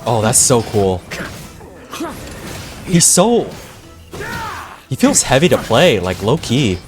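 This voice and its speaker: A young man reacting casually to a game on stream, slangy and excitable, often repeating himself. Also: mid-range voice, slow pace, music in the background. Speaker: gaming streamer.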